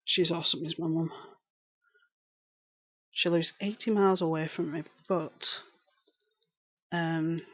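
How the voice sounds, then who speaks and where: A woman's voice: deep voice, steady tone, unhurried, pauses often, no room sound, dull audio. A woman in her thirties with a broad Lancashire accent, chatting casually on a live stream, greeting viewers and repeating herself as she rambles. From England. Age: 30s.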